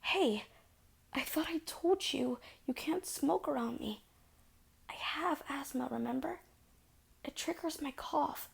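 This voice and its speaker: A woman playing a soft-voiced asthmatic girlfriend. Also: high voice, slow pace, vocal fry, long pauses, exaggerated voice. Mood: worried pleading. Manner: soft, half-whispered, pleading. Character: young girlfriend with asthma, pleading and fragile. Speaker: audio roleplay performer. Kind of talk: audio roleplay, spoken to the listener.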